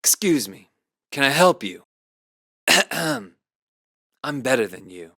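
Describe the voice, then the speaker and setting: A man's voice: mid-range voice, sing-song, takes it slowly, sharp tone, no room sound, studio quality, heavily acted. An actor playing a male video game character with brash, slangy attitude, delivering short, exaggerated lines. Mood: smug, arrogant.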